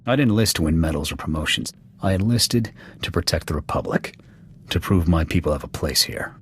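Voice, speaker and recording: An actor playing a gruff, proud alien military officer in a video game, with an American accent and a blunt, clipped military delivery. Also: male voice, deep voice, expressive, a little fast, a bit bright, a little hiss or hum. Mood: matter-of-fact, resolute. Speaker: soldier serving as second to his commander. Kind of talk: video game dialogue acting. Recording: background music under the dialogue.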